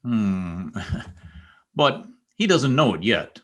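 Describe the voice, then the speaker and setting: Man acting brooding, sardonic man with swooping low voice. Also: big pitch swings, takes it slowly, fairly bright tone, exaggerated voice. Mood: sardonic, bitter. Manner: sardonic, commanding. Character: brooding, bitter, newly rich former stable boy, adult man. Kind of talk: old-time radio drama acting.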